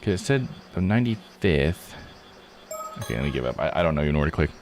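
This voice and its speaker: A man streaming a visual novel, thinking out loud in casual live commentary as he reads and puzzles. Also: rumbling low, big pitch swings, fast. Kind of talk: casual live game commentary, reading text aloud.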